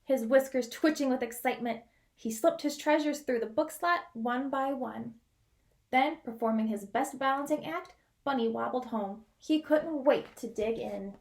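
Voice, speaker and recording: A woman reading a picture book aloud as a storyteller, expressive, with dramatic pauses and drawn-out key words. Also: high voice. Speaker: children's read-aloud storyteller.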